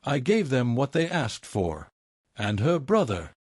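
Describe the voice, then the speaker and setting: A man reading as a middle-aged mafia lawyer in an audio story, a resigned and bitter traitor. He talks quietly and deliberately, with expressive pitch and a dry sound. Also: no echo. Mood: bitter confession. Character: tidy fifty-five-year-old male mafia lawyer, resigned, bitter traitor.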